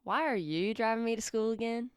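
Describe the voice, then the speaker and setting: A woman acting in a radio play as a guarded teenage girl, speaking hesitantly and haltingly. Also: on the high side, heavy background noise, natural voice.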